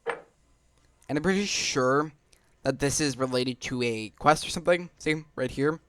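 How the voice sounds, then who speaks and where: A man giving casual commentary on a game playthrough, halting now and then as he thinks aloud. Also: mid-range voice, a bit bright, no room sound. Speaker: gaming video host. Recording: game music behind the voice.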